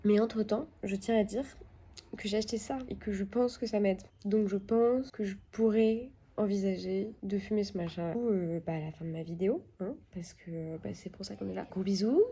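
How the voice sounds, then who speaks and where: A woman's voice: medium pitch, moderate pace, mellow. A young woman with a Parisian accent, chatting casually to the camera in her apartment, slangy and self-mocking. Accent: Parisian French. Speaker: vlogger. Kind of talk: casual vlog chat. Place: apartment, indoors.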